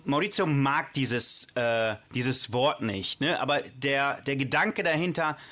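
A man's voice: medium pitch, measured pace, sharp tone. A man co-hosting a casual talk show, chatty and enthusiastic, asking long rambling questions. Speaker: co-host.

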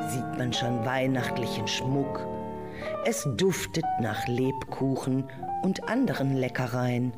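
A woman narrating a children's audio play as an expressive storyteller, with exclamations and questions put to the listener. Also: rumbling low. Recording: loud music behind the voice. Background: music.

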